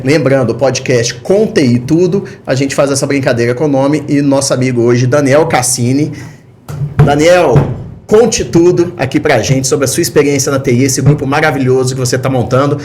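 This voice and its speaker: A man's voice: mid-range voice, darkish tone, slight background noise. A man with a Brazilian accent hosting a podcast interview in a casual, chatty, informal way. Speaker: podcast host.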